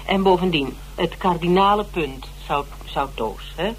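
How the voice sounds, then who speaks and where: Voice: medium pitch, unhurried, lightly acted. An actor in a Dutch radio drama playing a determined, persuasive investigator who repeats words insistently. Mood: pondering. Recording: noisy old radio drama recording with hum.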